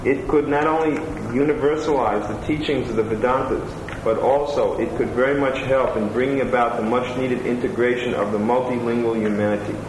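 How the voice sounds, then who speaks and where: A man's voice: mid-range voice, steady tone, fairly quick, few pauses. A man reading letters and reviews aloud, plain and attentive, adding his own asides. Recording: roomy room with clear background noise.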